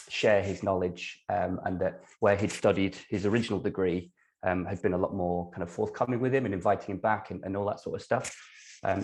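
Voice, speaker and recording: A man with a low, raspy, hoarse voice chimes in on a video call. He speaks tentatively, hedging as he goes. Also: a little mellow. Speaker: workshop participant.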